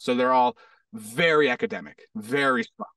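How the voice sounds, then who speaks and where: Creaky, animated man, a little off-mic. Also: medium pitch, moderate pace, no room sound, studio quality, far from the mic. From United States: Hawaii and Arizona. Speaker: podcast guest, actor and stunt performer. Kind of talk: casual chat, storytelling.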